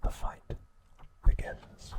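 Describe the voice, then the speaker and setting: A man narrating an episode recap in an ASMR style, in a breathy, unvoiced whisper with deliberate pauses between short phrases. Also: noisy background.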